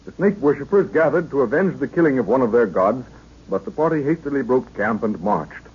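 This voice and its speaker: A man's voice: mid-range voice, lively intonation, a little fast, breathy edge. A man narrating an old radio adventure serial in a solemn, theatrical, scripted style. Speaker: announcer and narrator. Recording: muffled old radio recording.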